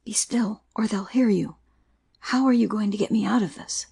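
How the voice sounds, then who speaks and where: Casting: fugitive soldier voiced by a woman, spent and desperate, husky with gravelly fry, earnest pleading, audio drama. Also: medium pitch, normal speed, warm tone. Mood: exhausted, urgent. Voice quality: breathy, husky, often half-whispered. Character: exhausted, desperate hunted Northern soldier, male, pleading. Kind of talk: amateur audiobook play acting.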